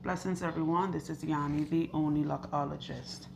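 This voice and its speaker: A woman loctician with a husky, hoarse voice, talking slowly and earnestly to camera while interviewing a client in her salon. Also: sits low, fairly even intonation, slight background noise, poor audio. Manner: earnest, chatty, asks questions and recounts stories. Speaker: loctician and channel host. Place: hair salon.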